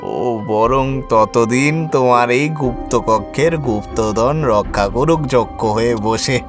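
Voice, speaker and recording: Slow, sly, callous priest, man acting. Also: medium pitch, natural voice. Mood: cold, sly. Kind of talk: Bengali horror audio drama acting. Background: music.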